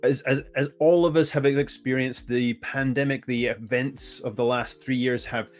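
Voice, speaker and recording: A man's voice: mid-range voice, moderate pace, faint music behind. A man whose first language is English, giving a conference presentation with energy and often restarting his phrases. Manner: energetic, self-correcting, frequent restarts. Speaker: conference speaker.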